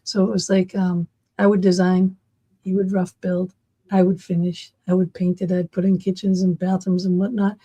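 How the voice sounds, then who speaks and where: A woman's voice: on the low side, monotone, normal speed, dark tone, slightly rough. A middle-aged woman from Massachusetts with a Massachusetts accent, chatting casually and animatedly, rambling with many fillers. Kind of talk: casual chat.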